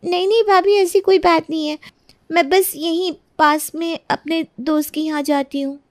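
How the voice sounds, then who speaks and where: A woman playing a defiant young sister-in-law with a defensive, pleading manner in an animated moral story. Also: extremely high pitch, moderate pace, no room sound, studio quality. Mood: nervous, evasive.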